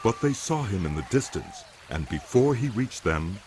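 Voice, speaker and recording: A man giving dramatic, scripted Bible narration in a solemn, deliberate manner. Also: fairly low pitch, very animated, background noise. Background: music.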